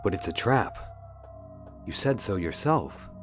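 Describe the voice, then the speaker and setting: A man's voice: lowish voice, very animated, measured pace. An actor playing an earnest, wary amateur investigator and worried father in an adventure game, questioning in a guarded, restrained way. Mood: troubled, disbelieving. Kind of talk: adventure game dialogue acting. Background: music.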